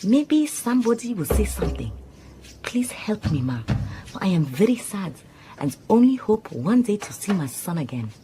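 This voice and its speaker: An actress playing an earnest, deferential secretary in a radio drama, formal and careful, with a Southern African accent. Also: female voice, medium pitch, very animated, normal speed, noisy background, close to an everyday voice. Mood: solemn reading. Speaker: supporting actor in a radio drama.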